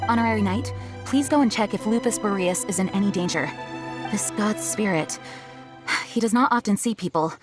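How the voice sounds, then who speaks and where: An actress playing a dutiful, composed knight commander in a video game, speaking formally and politely. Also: female voice, mid-range voice, normal speed, close to an everyday voice. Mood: earnest, concerned. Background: game music.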